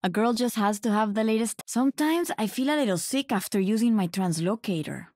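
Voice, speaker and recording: A woman's voice: medium pitch, expressive, brisk, a little mellow, dry recording, lightly acted. Actress doing a smug hacker girl from a game, sly teasing, dry sound. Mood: smug taunting. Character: sly, taunting female master hacker villain.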